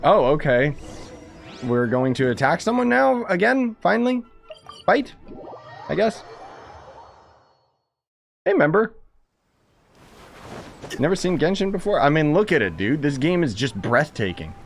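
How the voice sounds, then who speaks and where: A man's voice: fairly high pitch, big pitch swings, measured pace, creaky, pauses often. A man giving casual, slangy live game commentary as a streamer, opinionated and rambling. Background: faint game music.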